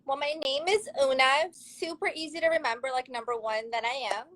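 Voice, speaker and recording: A young woman from Serbia, speaking English, talks in a high, bright register. She is an upbeat, chatty interview guest who laughs easily and tells her story casually. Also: a little fast. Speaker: guest, model and actress.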